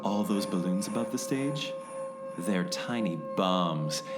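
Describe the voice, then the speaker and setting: A man's voice: sits low, lively intonation, slow pace. A man playing a petty, gloating villain in a comic radio play, taunting theatrically with mock-sweet menace. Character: gloating male villain, petty actor obsessed with social media. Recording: roomy echo with music underneath. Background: music.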